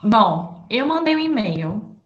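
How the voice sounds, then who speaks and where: A woman's voice: on the high side, big pitch swings, takes it slowly, reverberant, dull audio. A woman teaching Portuguese on a video call, laying out a lesson plan and checking in with her learners. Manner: friendly, explanatory, checks in with learners.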